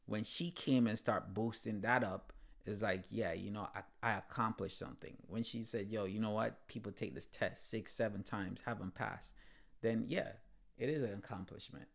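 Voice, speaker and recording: A man's voice: lowish voice, fairly even intonation, moderate pace, vocal fry. A man co-hosting a podcast in casual, slangy talk with a hint of a Jamaican accent, repeating phrases for emphasis. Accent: Jamaican-tinged. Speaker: podcast co-host.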